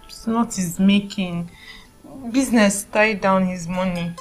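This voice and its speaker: A woman's voice: medium pitch, steady tone, a little slow, soft background music, close to an everyday voice, low-quality recording. An actress playing a weary, downtrodden, pleading single mother with a Nigerian accent in a film drama. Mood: quietly defensive.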